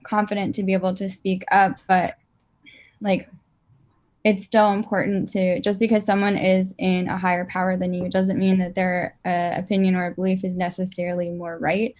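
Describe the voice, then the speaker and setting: A woman on a panel discussion, speaking in a measured, matter-of-fact way and now and then saying "like". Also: medium pitch, darkish tone. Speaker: panel guest.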